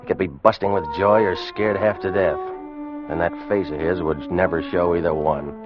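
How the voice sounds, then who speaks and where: A man's voice: low voice, steady tone, normal speed, lightly acted. An actor playing a tense, watchful ship's officer who narrates his tale in a 1940s radio drama. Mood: suspenseful narration. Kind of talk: 1940s radio acting, first-person narration. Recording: dull vintage radio recording with music.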